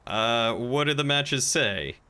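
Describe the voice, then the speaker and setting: A man's voice: medium pitch, expressive, slow pace, sharp tone, clean tone. A man streaming a game, chatting casually and improvising roleplay in a polite, hesitant way.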